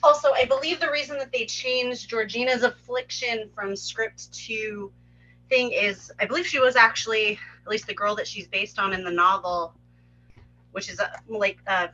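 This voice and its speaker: A woman's voice: fairly high pitch, fairly even intonation, moderate pace, pushed and bright, lo-fi sound. A woman chatting casually in an explanatory way on an online group call. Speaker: participant helping run an online script table read.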